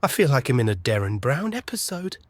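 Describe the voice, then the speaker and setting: A husky, fretful young man in a naturalistic audio drama, recorded dry. He is anxious and reluctant, his halting speech swinging in pitch. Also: sing-song, dry recording.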